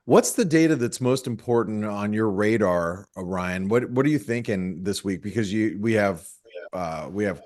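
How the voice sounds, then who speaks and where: A man co-hosting a webinar, talking casually about markets in a relaxed, rambling way with many fillers. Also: on the low side, moderate pace. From Taiwan-born American. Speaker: webinar co-host.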